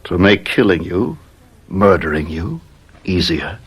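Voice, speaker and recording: Scheming gentleman, husky and swooping. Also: low voice, very animated, unhurried, full character voice. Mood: cold menace. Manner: blustering, evasive, swinging from indignant to coldly menacing. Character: scheming, evasive Italian gentleman, secretly a cold murderer. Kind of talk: old radio mystery acting.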